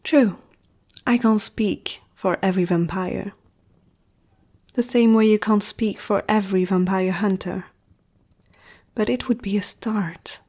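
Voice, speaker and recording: A woman playing an ancient, powerful vampire aristocrat in an ASMR roleplay, poised, intimate and seductive, speaking in a breathy half-whisper. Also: mid-range voice, fairly even intonation, a little slow, long pauses, warm tone. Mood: measured, persuasive.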